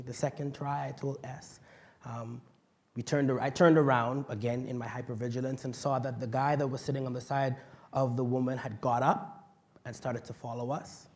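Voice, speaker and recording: A young man from the Bahamas, telling a personal story as a panel speaker, passionate and vocal, swinging from quiet asides to loud outbursts. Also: mid-range voice. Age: young adult.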